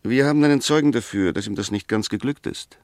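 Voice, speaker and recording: An actor in a 1960s German radio play as an eloquent, argumentative defence advocate, speaking with precise, emphatic, scornful rhetoric. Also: male voice, mid-range voice, fast, no echo, clean studio sound, lightly acted. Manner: rhetorical, emphatic, pointed questions, precise diction.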